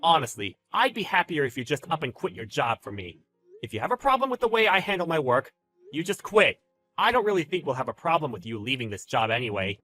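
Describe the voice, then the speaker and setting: A man's voice: a little high, very animated, quick pace, sharp tone, soft background music. A man playing a smug, bullying boss in a dramatized story, with a taunting, slangy, exclamatory delivery. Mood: hostile. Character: smug bullying male boss, gloating and contemptuous. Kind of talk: dramatized story narration with character voices.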